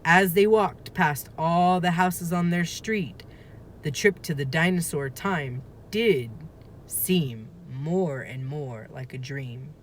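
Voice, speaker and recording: A woman reading a children's story aloud in a deliberate, expressive narrating style. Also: low-pitched, slight background noise. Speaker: storybook reader.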